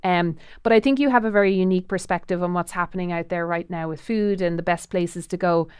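A woman's voice: on the low side, fast talker, darkish tone, smooth, dry recording, clean studio sound. A woman hosting a casual interview, chatty and effusive, often trailing off and restarting. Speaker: podcast host.

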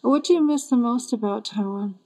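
A woman's voice: a little high, brisk, mellow. A woman hosting an interview, asking friendly questions and backing the guest with frequent "mm-hmm" and "right.". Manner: attentive, lots of "mm-hmm" and "right".

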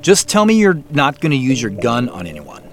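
An actor playing an earnest, cautious amateur sleuth in an audio drama, speaking in a measured, conversational way. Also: male voice, mid-range voice, huge pitch swings. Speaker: lead character and narrator. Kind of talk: scripted audio drama, first-person narration and naturalistic phone dialogue. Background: background music.

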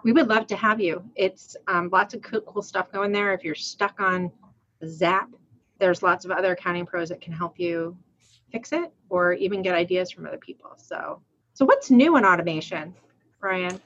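A woman's voice: fairly low pitch, moderate pace, fairly bright tone, muffled recording. Chatty American woman on a podcast. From Rhode Island, USA. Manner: chatty, laughs often, many restarts. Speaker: podcast co-host. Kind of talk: casual podcast chat.

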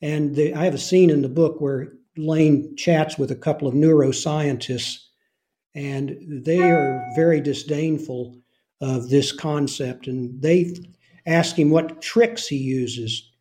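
A soft-spoken man, an author and former intelligence officer, answering interview questions in an earnest way. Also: on the high side, slow pace.

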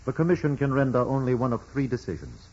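Husky, imperious old-radio bishop. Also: male voice, medium pitch, very animated, a little mellow. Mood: stern, dry. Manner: measured, pompous, emphatic. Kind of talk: 1940s radio drama acting. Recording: muffled vintage radio broadcast recording.

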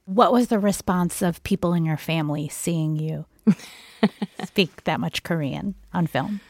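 A woman's voice: on the low side, unhurried, a little mellow, no room sound, studio quality. A woman interviewer talking conversationally, reflective and sharing personal thoughts. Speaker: radio interviewer.

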